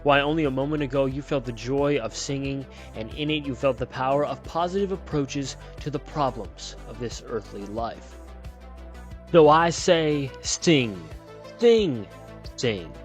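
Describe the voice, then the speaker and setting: A man's voice: medium pitch, moderate pace, hardly any pauses, faint music behind, close to an everyday voice. A man voicing a sing-songy TV preacher. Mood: fervent. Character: smiling male TV preacher, smooth and sing-songy. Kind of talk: storybook reading.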